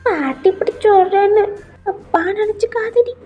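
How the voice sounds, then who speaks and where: Woman acting a high, pleading old grandmother. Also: slow, highs cut off, soft background music. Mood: tearful, pleading. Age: older. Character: tearful elderly grandmother, loving and pleading. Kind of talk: acted audio story.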